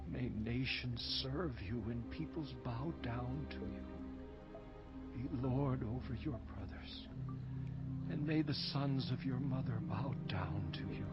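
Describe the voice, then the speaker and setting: An actor playing an old, dying, blind father in a dramatised audio Bible, speaking slowly and solemnly. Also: medium pitch. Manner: slow, solemn, deliberate delivery. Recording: muffled audio under loud meditation music.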